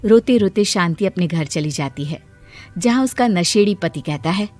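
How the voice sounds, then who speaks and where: A woman's voice: fairly low pitch, expressive, normal speed, darkish tone, faint music behind. Woman narrating a moral story.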